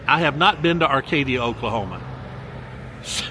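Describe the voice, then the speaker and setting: A man's voice: medium pitch, expressive, moderate pace, pushed and bright, a little hiss or hum. An older man from Mississippi, a vlogger reading out where his viewers are watching from, stretching the place names in a sing-song way and adding chatty asides. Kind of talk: casual viewer shout-outs. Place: outdoors by a lakefront.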